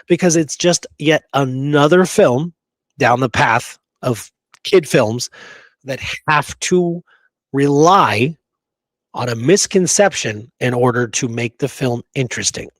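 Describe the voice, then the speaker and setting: A man's voice: mid-range voice, animated, slow, no echo, low-quality recording. Man in dry, close-sounding emphatic chat. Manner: emphatic, often checks for agreement with "right?". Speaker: co-host of a history talk show. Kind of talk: casual chat about Western history and films.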